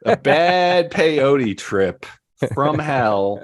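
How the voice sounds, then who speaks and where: A man's voice: on the high side, animated, extremely slow, slight background noise. A man hosting a podcast in casual, playful banter with a jokey, theatrical way of talking. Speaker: podcast host.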